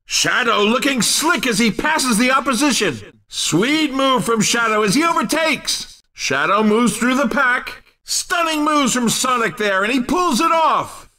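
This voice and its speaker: An excitable male arcade racing commentator with a very high, forceful voice. The delivery is brash and punchy, swinging widely in pitch like upbeat sports commentary in a video game, and sits a little back from the mic. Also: far from the mic, full character voice. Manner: punchy, exclamatory announcer delivery, often shouting. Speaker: race commentator.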